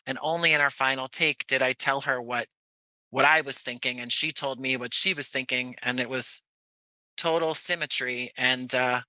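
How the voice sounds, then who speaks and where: American guy being interviewed, really bright crisp-edged voice, dry close sound, kinda reflective storyteller. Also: mid-range voice, steady tone, moderate pace, dry recording, rough recording. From Jersey City, United States. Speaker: podcast guest, audio playwright.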